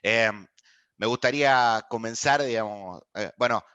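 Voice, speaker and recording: A man from Argentina with an Argentine accent, giving a courteous, measured online masterclass as a guest speaker and often pausing on filler sounds over a video call. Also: mid-range voice, pushed and bright, clear, resonant tone, no echo, muffled recording.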